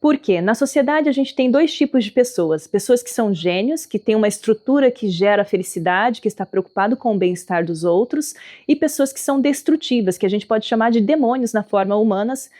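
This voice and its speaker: A woman with a somewhat high voice gives an earnest spiritual talk, explaining step by step and leaning on her key words. She speaks as someone whose first language is not Portuguese. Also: animated, fairly quick, hardly any pauses, dark tone. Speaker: spiritual teacher. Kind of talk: spoken talk on spirituality and politics.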